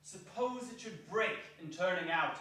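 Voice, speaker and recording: A man's voice: high voice, expressive, unhurried, bright, forceful tone. A man giving a theatrical storybook narration as one of the readers in a staged reading. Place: indoor performance hall. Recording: echoey hall from far off.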